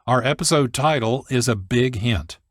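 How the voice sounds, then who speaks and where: Male documentary host, mid-pitched, scripted narration in a dry studio sound. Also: moderate pace, dry recording. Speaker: producer and host.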